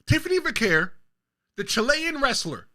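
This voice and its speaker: Man, from the Bronx, wrestling show host, high voice, very lively delivery, emphatic hot takes. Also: moderate pace. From the Bronx, New York. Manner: talks straight to viewers. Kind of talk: solo wrestling commentary show.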